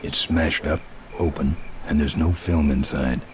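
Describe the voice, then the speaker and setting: An actor playing a tough, laconic biker hero in a video game, terse and deadpan. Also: male voice, extremely low pitch, a little slow, a little hiss or hum. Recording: music behind the voice.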